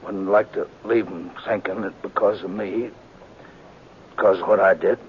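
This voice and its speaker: An actor playing a wounded, aging American small-town sheriff in an old radio Western, halting, weak and folksy as he speaks with humble remorse. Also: sits low, steady tone, natural voice. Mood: weak, remorseful, pleading. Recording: dull noisy vintage radio recording.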